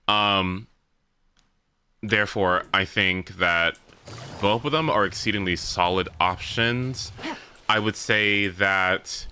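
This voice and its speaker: A man's voice: low-pitched, sharp tone, slight background noise. A man chatting casually on a gaming stream, rambling as he thinks aloud, with some swearing. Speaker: livestreamer. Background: game music.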